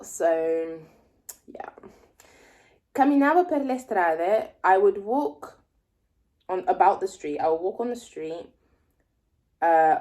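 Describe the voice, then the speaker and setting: A woman in her twenties, a native French speaker, vlogging as a language learner. She reads a text aloud slowly, thinks aloud and guesses at words she doesn't know. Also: lowish voice, darkish tone. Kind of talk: reading aloud and explaining a text.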